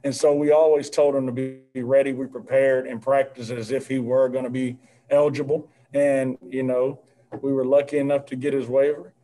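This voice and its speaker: Man, head coach, postgame press answers, warm mellow tone, measured, lots of fillers, video call. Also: mid-range voice, flat delivery, poor audio. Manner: earnest, measured, frequent fillers. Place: indoor press backdrop room. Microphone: video call, seated at desk with mask.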